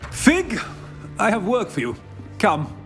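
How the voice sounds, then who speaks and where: A man's voice: sits high, wildly swooping pitch, slow pace, fairly bright tone, faint music behind, full character voice. A man playing a haughty, domineering, impatient older headmaster in a video game, curt and imperious. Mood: imperious, irritated. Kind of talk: video game character acting.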